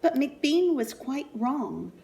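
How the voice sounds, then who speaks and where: A woman reading a picture book aloud to young children in an animated, sing-song way, pausing for asides and questions. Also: high-pitched, vocal fry, a little hiss or hum.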